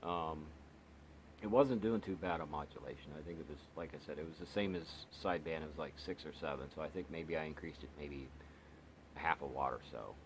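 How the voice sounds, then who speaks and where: A man's voice: a bass voice, brisk, slight background noise. A man rambling through a casual radio review, full of fillers and restarts. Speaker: radio reviewer, channel host. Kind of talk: casual product review vlog.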